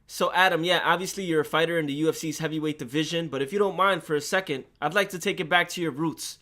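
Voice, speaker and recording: A man's voice: fairly high pitch, steady tone, fast talker, bright, forceful tone. A man co-hosting a casual podcast, opening the show in an upbeat, welcoming way. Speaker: podcast co-host.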